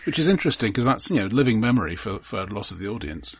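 A man co-hosting a film podcast, explaining his points casually and analytically in a halting way. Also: lowish voice, very animated, crowd noise.

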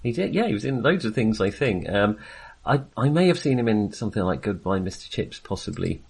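Bloke on a film podcast, lowish voice, keen and rambly, lots of hesitating, bit sweary. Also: male voice, big pitch swings, a little fast, gravelly, a little mellow, husky. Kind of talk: casual, chatty film discussion.

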